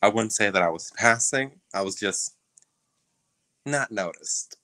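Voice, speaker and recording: A man from Puerto Rico speaks as a guest on a video call, answering briefly and easily. His voice is very low yet bright. The sound is dry and close, with no room echo. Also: lively intonation, unhurried. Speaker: guest, go-go dancer. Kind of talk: casual video-call interview.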